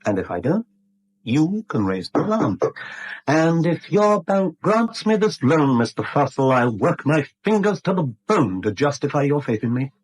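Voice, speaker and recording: An actor playing a smooth, gloating blackmailer in a 1970s radio drama, with a British accent and a glib, mock-polite, theatrical manner. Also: male voice, fairly high pitch, very animated, normal speed, low-quality recording. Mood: smug, bargaining.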